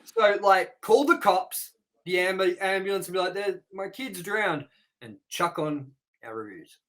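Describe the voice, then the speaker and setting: A man's voice: sits high, measured pace, fairly bright tone. A young man hosting a casual review podcast, joking crudely and swearing often. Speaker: podcast host.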